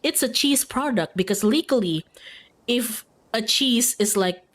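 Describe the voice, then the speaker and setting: A woman's voice: fairly high pitch, animated, measured pace, a bit bright. Chatty woman streamer. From Indonesia. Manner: informal, frequent "like", giggly. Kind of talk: casual livestream chat while playing a visual novel.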